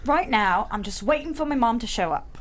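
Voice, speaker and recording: A woman's voice: on the high side, lively intonation, brisk, lightly acted. A woman playing a vain, pampered seventeen-year-old girl with a haughty, dramatic manner in a comedy script read. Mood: snooty.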